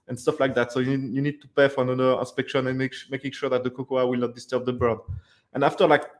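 A man in his thirties hosting a live webcam Q&A, talking casually with many fillers and restarts, recorded in a dim indoor room. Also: medium pitch. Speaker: livestream host answering viewer questions. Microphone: headset or desk mic, webcam framing.

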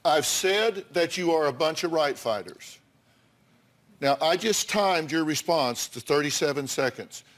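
A man's voice: on the high side, expressive, measured pace, a bit bright. An older man hosting a televised talk show, bluntly lecturing and needling his guests in a studio. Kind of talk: confrontational talk show counseling. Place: TV studio with audience.

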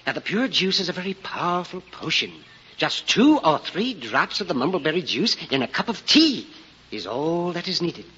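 A genteel, eager botanical traveler in 1940s radio style. His voice is bright and very animated, with courtly exclamations. The old recording sounds muffled. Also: a little high, moderate pace, husky, exaggerated voice. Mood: confiding. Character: genteel, eager, excitable traveling plant hunter.

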